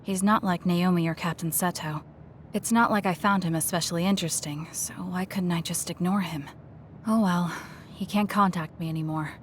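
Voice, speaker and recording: A woman's voice: lowish voice, steady tone, normal speed, a little hiss or hum, close to an everyday voice. An actress playing a cool, guarded, logical young woman soldier in a video game, speaking in a reserved, blunt, matter-of-fact way. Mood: guarded, brooding. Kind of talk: English video game voice acting.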